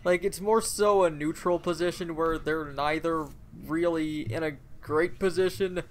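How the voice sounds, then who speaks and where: A man co-hosting a casual podcast, giving his opinions in a measured, analytical way. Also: a little high, steady tone. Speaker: podcast co-host. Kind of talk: casual panel discussion.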